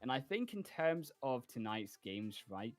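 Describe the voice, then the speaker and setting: A man's voice: mid-range voice, very animated, a little slow, dry recording. A man casting esports, analyzing teams fluently and confidently and closing the broadcast. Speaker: esports caster.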